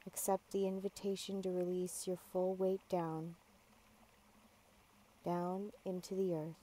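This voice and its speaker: A woman guiding a yoga nidra meditation, giving calm, measured, gentle instructions with long pauses. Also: lowish voice, poor audio. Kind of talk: guided meditation, slow soothing instruction.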